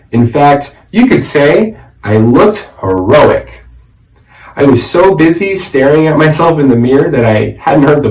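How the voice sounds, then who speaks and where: A man reads a children's story aloud with very lively, expressive intonation. He sounds slightly away from the microphone, in an echoey room. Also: mid-range voice. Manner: expressive, dramatic. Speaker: reader, teacher. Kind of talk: animated children's storybook narration. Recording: roomy echo, slightly distant mic.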